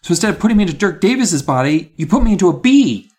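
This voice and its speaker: A man's voice: medium pitch, sing-song, measured pace, a bit bright, husky, heavily acted. A man voicing a frantic, indignant boy who is trapped in a bee's body in a storybook. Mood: angry accusing.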